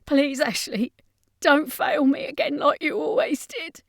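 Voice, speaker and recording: A woman voicing a taunting demonic vampire brother in an audiobook, with slow, drawn-out words and a breathy, whispery edge. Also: high voice, sing-song, dry recording, clean studio sound, voice pushed up, exaggerated voice. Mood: pleading.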